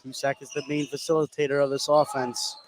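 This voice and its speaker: A man's voice: mid-range voice, level tone, fairly quick. Male basketball analyst in a noisy gym. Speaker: color commentator. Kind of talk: live basketball radio analysis. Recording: clear gym noise behind voice. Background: gym ambience with bouncing basketballs.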